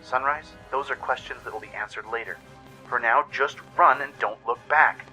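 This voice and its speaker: A man's voice: medium pitch, normal speed, bright, forceful tone, soft background music, pitched above the performer's own voice, rough recording. A man voicing an urgent, protective spirit guide in a radio play. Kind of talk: radio play character acting.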